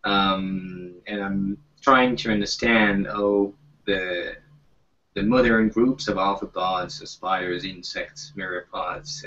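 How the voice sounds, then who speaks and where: A young male PhD student speaking quietly on a video chat, his voice trailing off. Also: low voice, recorded from a distance, dull audio. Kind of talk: casual panel chat.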